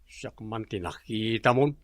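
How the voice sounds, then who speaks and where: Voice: medium pitch, lively intonation, unhurried, no echo, close to an everyday voice. A performer playing a lazy, defensive unemployed man in a radio drama, with a sulky way of talking. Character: lazy unemployed man who watches TV all day.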